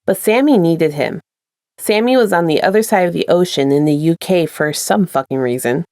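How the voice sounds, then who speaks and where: A woman's voice: fairly low pitch, moderate pace, mellow, no echo, clean studio sound. A woman presenting and reading her own fan fiction as a podfic narrator, in an informal storytelling style. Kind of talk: casual intro and scripted story narration.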